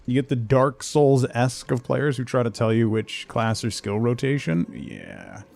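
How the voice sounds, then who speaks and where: A man's voice: mid-range voice, big pitch swings, moderate pace, vocal fry, darkish tone. A man chatting casually as he livestreams a game, muttering asides to himself and his viewers. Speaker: game streamer. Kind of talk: casual livestream gameplay chat.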